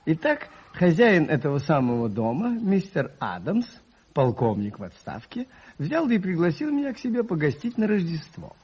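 An actor playing a gentle, modest priest telling an old tale in an unhurried, courteous radio drama style. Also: male voice, on the high side, wildly swooping pitch, husky, heavily acted. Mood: reminiscing. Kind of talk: 1980s Soviet radio drama acting, storytelling. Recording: slightly muffled vintage radio recording.

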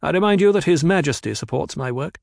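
A man's voice: expressive, fast talker, vocal fry, a little mellow, dry recording, clean studio sound. A man reading an audiobook, voicing a stiff, guarded male planetologist who speaks curtly and coldly. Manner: clipped and cold, raised pitch. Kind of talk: audiobook character voice.

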